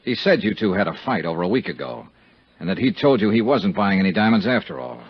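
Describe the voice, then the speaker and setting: An actor playing a tough, cynical insurance investigator in a 1950s radio detective drama, with a hard-bitten, wry, clipped delivery. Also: male voice, on the low side, lively intonation, fast talker, gravelly, slightly rough, heavily acted. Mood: cynical, probing. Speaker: lead actor and narrator. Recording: muffled, hissy 1950s radio recording.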